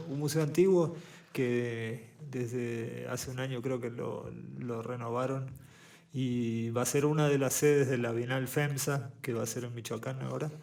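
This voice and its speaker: A man's voice: mid-range voice, a little mellow. Thoughtful Buenos Aires–raised Uruguayan man. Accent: Rioplatense Spanish, Buenos Aires. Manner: thoughtful, halting, with many restarts. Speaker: visual artist guest. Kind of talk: relaxed interview conversation.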